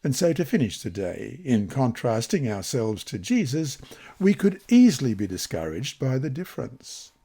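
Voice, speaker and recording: Expressive man reading a devotional, slightly husky. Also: mid-range voice. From Australia. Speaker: reader of Bible study lessons.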